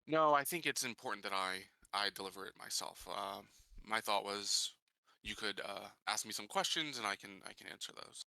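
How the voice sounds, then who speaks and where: A man who is feeling unwell, playing a tabletop roleplaying game in a hesitant, low-key, casual way. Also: lively intonation, normal speed, creaky, sharp tone, no room sound.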